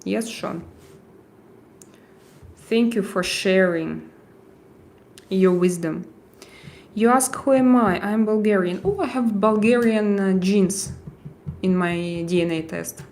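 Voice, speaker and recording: A woman with a heavy Russian accent hosting a casual livestream, chatting with viewers and often pausing with hesitant fillers as she searches for words. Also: medium pitch, a little mellow. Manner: hesitant, halting, with frequent "uh" fillers and restarts. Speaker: livestream host. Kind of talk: casual livestream chat answering viewer comments.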